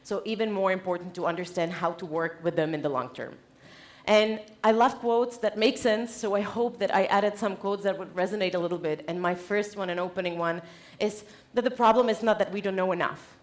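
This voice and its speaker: A woman's voice: mid-range voice, fairly quick. A woman from the Czech Republic with a Czech accent, giving an informal motivational presentation to an audience in a playful, joking, conversational way. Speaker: conference keynote speaker.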